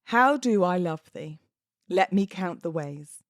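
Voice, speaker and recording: A British woman hosting a podcast, moving between informal talk and expressive readings of verse. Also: lowish voice, takes it slowly, no echo, clean studio sound. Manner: chatty, informal, giggly. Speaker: podcast host. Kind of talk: casual podcast chat with dramatic poetry readings.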